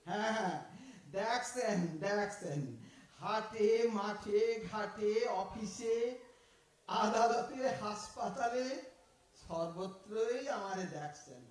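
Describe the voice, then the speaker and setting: A man's voice: high voice, slow. Man acting folksy East Bengali thief, echoey. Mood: boastful. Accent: East Bengali dialect. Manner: folksy, mock-polite, talkative. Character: aging male thief, cheeky, philosophizing, self-styled honest. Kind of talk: Bengali audio drama acting. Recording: echoey room, far from the mic.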